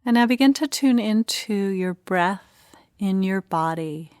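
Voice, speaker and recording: A woman leading a guided meditation, speaking in short, gentle phrases with unhurried pauses. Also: medium pitch, expressive, clear, resonant tone, dry recording, clean studio sound.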